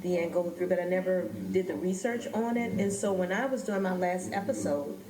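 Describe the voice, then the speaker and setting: A woman's voice: lowish voice, brisk, crowd noise. A woman speaking as a podcast host and interviewer. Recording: echoey room.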